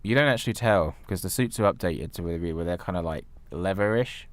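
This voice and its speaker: Male co-host with a low-pitched voice, informal and conversational, talking films on a podcast. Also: expressive, a little fast, dry recording. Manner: informal, frequent "like". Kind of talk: casual film discussion.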